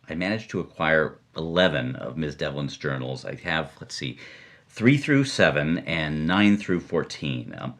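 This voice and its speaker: A man's voice: rumbling low, fairly bright tone, exaggerated voice. A man playing an earnest, slightly exasperated biographical expert, talking in a hesitant, musing, conversational way. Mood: rueful. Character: earnest male expert on an actress's life, slightly exasperated scholar. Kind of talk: conversational mock-documentary discussion.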